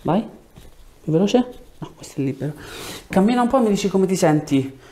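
A man's voice: high voice, expressive, fairly quick, dark tone, slight background noise. A man, a chiropractor giving short hands-on instructions and explanations to a patient in a treatment room. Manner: short directive phrases. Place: small clinic treatment room indoors. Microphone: lapel mic.

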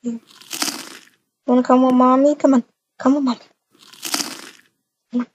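A woman playing a gentle, doting young mother in a casual game roleplay, with soft cooing baby talk. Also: sits high, little pitch movement, moderate pace, lightly acted. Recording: muffled, noisy microphone with clicks.

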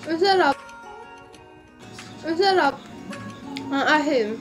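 A woman's voice: high voice, slow pace, music in the background. Giggly young woman cooking-vlog host. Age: young adult. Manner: chatty, giggly, polite and playful. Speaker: cooking vlogger. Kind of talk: casual cooking vlog chat. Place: dark indoor room, seated at a table.

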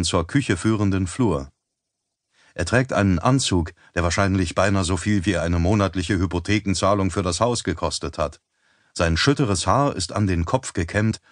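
A man reading an audiobook as narrator in a measured, even storytelling manner on a close studio mic. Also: deep voice, dry recording. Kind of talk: scripted narration.

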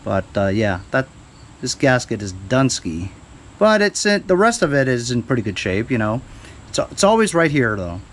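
A man's voice: medium pitch, big pitch swings, normal speed, slight background noise. A man chatting casually in a laid-back, rambling, slangy way as he shows his repair work in a garage. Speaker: DIY small-engine repair vlogger. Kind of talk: casual chat while showing repair work. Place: cluttered home garage with open door.

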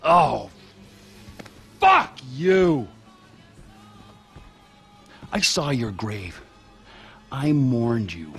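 A volatile, gravelly criminal, wildly swooping. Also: male voice, medium pitch, big pitch swings, drawn-out words, pauses often, a bit bright, faint music behind, full character voice. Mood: wounded rage. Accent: North American. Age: middle-aged. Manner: ranting, erratic, profane. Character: volatile middle-aged criminal, needy, menacing, explosive. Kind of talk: video game cutscene acting.

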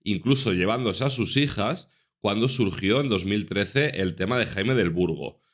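A man's voice: fairly low pitch, lively intonation, normal speed. A man in his thirties from Spain commenting on news articles to his viewers in an earnest, casual manner, stressing points by repeating them. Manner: earnest, repeats for emphasis, addresses viewers directly. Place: small room indoors.

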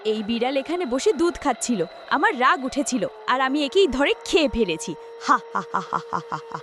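A woman's voice: high voice, sing-song, normal speed, noisy background. A woman playing the vengeful ghost of a jilted young woman in a horror cartoon, dramatic, accusing and menacing. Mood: gloating. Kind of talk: melodramatic horror cartoon dialogue.

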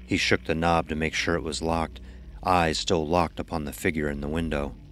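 A man reading scripted horror narration in a measured, ominous storytelling manner. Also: rumbling low, little pitch movement, fairly bright tone, a little hiss or hum.

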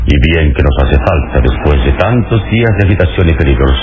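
An actor playing a calm, wise adventure hero in a radio serial, measured and assured. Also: male voice, very deep voice, over music. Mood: calm, thoughtful. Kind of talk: old radio serial acting. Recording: lo-fi radio serial with background noise.

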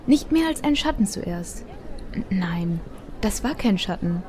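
Mellow female audio drama narrator. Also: mid-range voice, animated, a little slow, slight background noise. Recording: faint background ambience.

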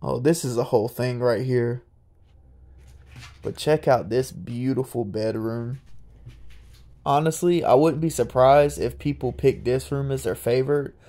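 A young man, narrating a casual, excited walkthrough of an abandoned house. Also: mid-range voice, a little slow. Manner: excited, marveling, chatty. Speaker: urban explorer vlogger. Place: inside an abandoned old house.

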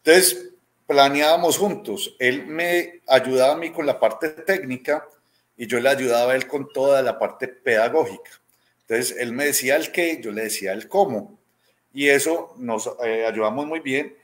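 A man's voice: medium pitch, normal speed. A man in his thirties with a Colombian accent from Medellín, telling stories casually in an interview and acting out quoted dialogue as he goes. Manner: conversational, acts out quoted dialogue, often tags with "¿cierto?". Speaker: robotics teacher being interviewed. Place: small room at home, indoors, video call.

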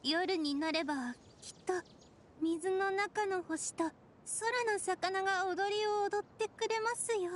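A woman playing a composed, gentle young lady strategist in an anime game, speaking softly and very politely. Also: very high-pitched, slow pace, a little hiss or hum. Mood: dreamy. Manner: soft-spoken, formal and polite. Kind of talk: Japanese anime game voice acting.

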